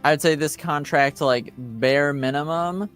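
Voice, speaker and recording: A man acting as game master, talking through improvised tabletop roleplay in a deliberate, hedging way with his players. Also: a little high, a bit bright, clean tone, faint music behind.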